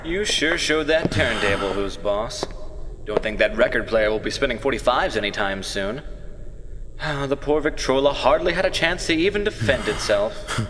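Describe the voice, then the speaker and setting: Male actor as taunting, sinister greeter for radio play; theatrical, widely swinging pitch, noisy recording. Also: close to an everyday voice. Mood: sarcastic. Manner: theatrical, taunting, drawn-out delivery. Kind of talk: amateur radio play acting. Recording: noisy, reverberant amateur recording.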